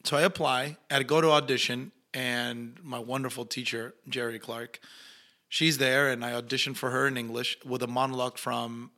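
A young man with an Argentine accent, a Spanish-speaking actor telling stories in a casual interview, animated and acting out the people he quotes. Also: mid-range voice, moderate pace. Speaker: actor guest.

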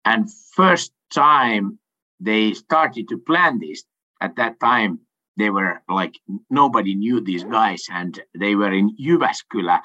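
Middle-aged man from Finland, native Swedish speaker; bright, forceful tone, hesitant delivery full of fillers, dull lo-fi call sound. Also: fairly low pitch, lively intonation, slow. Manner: hesitant, many fillers, laughs often. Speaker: sales coach and company owner. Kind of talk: casual interview answers.

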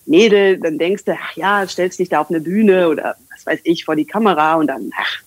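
A woman's voice: lowish voice, normal speed, no room sound. A woman in her thirties, a communication coach and English trainer, talking in a casual, rambling interview with many fillers.

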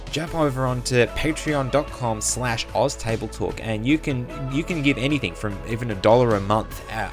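Australian man, podcast host, earnest, measured, mid-pitched, music bed behind. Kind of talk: casual chat and podcast outro.

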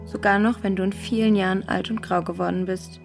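A woman's voice: mid-range voice, fairly even intonation, a little fast, creaky, music in the background, lightly acted. A woman playing an earnest, sincere young girl who has lost her mother, in a children's audio drama. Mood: touched. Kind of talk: German children's radio play acting.

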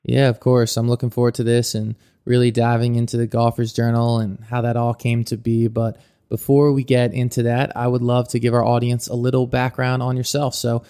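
A man's voice: medium pitch, monotone, a little fast, warm tone, smooth. A man hosting a podcast, reading a scripted intro and asking interview questions in an upbeat, welcoming way. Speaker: podcast host.

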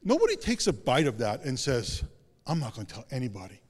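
A man's voice: huge pitch swings, fairly quick, breathy edge. Man preaching in a reverberant hall. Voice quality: deep voice. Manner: conversational, self-deprecating preaching with asides. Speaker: pastor. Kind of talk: sermon.

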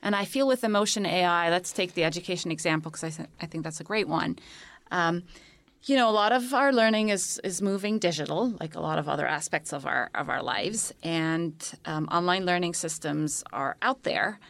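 A woman's voice: lowish voice, moderate pace, gravelly, fairly bright tone, no echo. A woman from Egypt talking as a guest in a panel conversation, articulate and enthusiastic, explaining her ideas with many examples. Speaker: tech company founder, guest.

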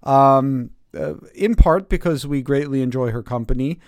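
A man hosting a casual podcast in a relaxed, jokey manner. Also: medium pitch, normal speed, clean tone, dry recording, clean studio sound. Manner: easygoing, jokey. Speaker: podcast host.

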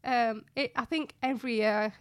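Young woman, twenties, Yorkshire accent, highish voice, chatty informal podcast guest, dry close sound. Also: steady tone, normal speed, no room sound. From Bradford, West Yorkshire, England. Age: 20s. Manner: chatty, informal, self-joking. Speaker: interview guest, fashion blogger and influencer. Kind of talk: casual chat.

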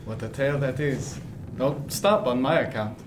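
An actor playing a courteous, dryly witty gentleman aboard a ship in a period radio drama. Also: medium pitch, normal speed, noisy background, natural voice.

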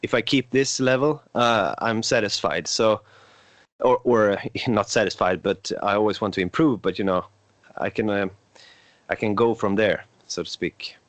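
A young Swedish man with a Swedish accent chats casually as a podcast host, his voice sitting a little low. He talks haltingly with plenty of filler sounds while he gathers his thoughts. The recording is dry, with little room sound. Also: dry recording. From Sweden. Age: 20s. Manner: hesitant, frequent filler sounds.

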